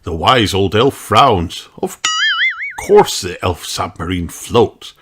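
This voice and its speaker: A man reading a children's book aloud in an expressive storytelling style. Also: sits low, slow pace, loud music behind the voice.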